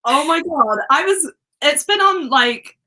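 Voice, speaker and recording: Brief: young Welsh woman, native Welsh speaker, excitable live-stream chat, bright, slightly high voice. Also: unhurried, poor audio. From Wales. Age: young adult. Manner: chatty and excitable. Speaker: host.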